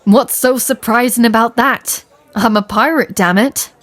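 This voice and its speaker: A woman's voice: a little high, a little slow, a bit bright, no room sound. A woman playing a proud, skeptical female pirate captain in an audio roleplay, brusque, grumbling and dismissive. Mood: irritated, defensive. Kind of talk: character audio roleplay acting.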